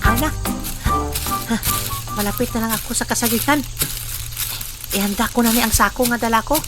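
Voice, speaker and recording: A woman's voice: a little high, steady tone, moderate pace, over loud music, natural voice. A woman playing a sneaky, gleeful thief who chuckles and mutters greedily in a comedic audio drama. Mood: eager greedy.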